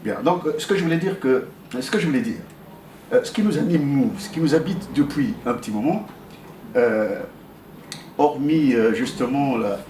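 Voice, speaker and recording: A man's voice: medium pitch, expressive, moderate pace, warm tone, distant mic. A man from the Republic of the Congo, whose first language is Okutuba, speaks at a community meeting with many hesitant fillers, and his voice climbs sharply when he presses a point. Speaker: community member addressing a meeting. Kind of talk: impassioned public remarks. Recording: roomy sound from off-mic, faint hum.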